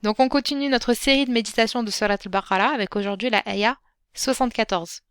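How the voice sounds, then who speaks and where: A woman hosting her own podcast as a Quran teacher. She speaks gently and encouragingly, addressing the listener directly, with frequent fillers and restarts. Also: on the high side, fast, clean tone, dry recording, clean studio sound. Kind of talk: solo podcast talk.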